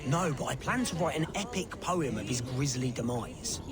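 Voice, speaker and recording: An actor playing a pompous, theatrical poet who declaims in florid, drawn-out phrases. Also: male voice, medium pitch, lively intonation, a crowd in the background, close to an everyday voice. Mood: grandiose. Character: pompous, theatrical would-be poet composing a grisly funeral epic. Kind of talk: video game character acting.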